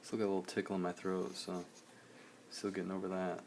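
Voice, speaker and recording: Deep, gravelly man, slightly hoarse. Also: quick pace, a little hiss or hum. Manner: relaxed, rambling, many fillers. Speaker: artist streaming a drawing session. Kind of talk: casual live-stream chat while drawing.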